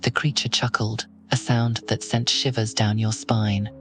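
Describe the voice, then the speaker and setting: A man narrating a horror audio roleplay in the second person, with a steady, ominous delivery. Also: fairly low pitch, unhurried, soft background music.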